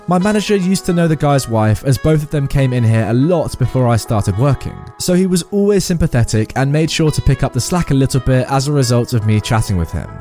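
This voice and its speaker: A man narrating online stories aloud for his channel in an animated, expressive way. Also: fairly high pitch. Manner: animated, expressive reading with vivid delivery.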